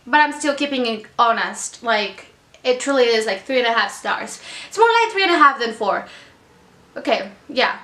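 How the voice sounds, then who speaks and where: A woman's voice: sits high, sharp tone, roomy sound. A young woman from Mexico with a Mexican accent, chatting casually about books in a vlog, rambling with many fillers. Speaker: book vlogger. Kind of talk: casual reading wrap-up vlog.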